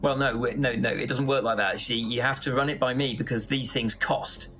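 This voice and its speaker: A man's voice: mid-range voice, fairly even intonation, pushed and bright, faint music behind, natural voice. Sci-fi drama manager male actor: average register, flustered, cautious, stumbling repetitions. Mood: flustered, worried. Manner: halting, repeats words when rattled.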